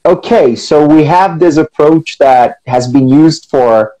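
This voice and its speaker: A man's voice: a little high, slow pace, dark tone, rough recording. A man co-hosting a teaching podcast, chatting in a friendly way, often agreeing and laughing. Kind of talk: casual educational chat.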